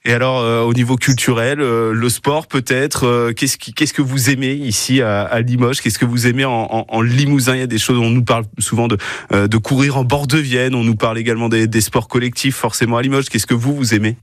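Radio host guy, mid pitch, asking long chatty questions, rewording as he goes, really dry sound. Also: fairly even intonation, brisk, no room sound. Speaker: radio interviewer. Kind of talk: conversational interview.

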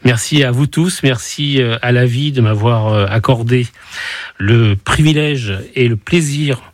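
A man in his sixties, a retiring radio host with a slight cold, giving a halting, heartfelt farewell on live air. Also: medium pitch, no room sound. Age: 60s. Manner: halting, heartfelt, many restarts. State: has a cold. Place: radio studio.

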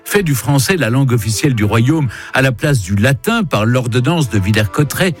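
A man's voice: medium pitch, expressive, a little fast, soft background music. A man presenting a playful, scripted radio chronicle on the history of French. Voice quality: playful, wry delivery.

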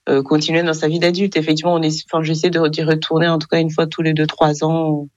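Woman, young adult, from Rwanda, low-pitched, hesitant with fillers, dry dull sound. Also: completely flat delivery, fast, background noise. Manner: hesitant, many fillers, soft laughs. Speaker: interview guest. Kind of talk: radio interview.

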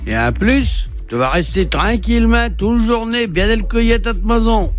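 High-voiced ch'ti man teasing listeners. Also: expressive, normal speed, faint music behind. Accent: Picard (ch'ti). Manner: folksy, teasing, talks to listener as "tu". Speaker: radio horoscope presenter. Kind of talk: comic dialect horoscope.

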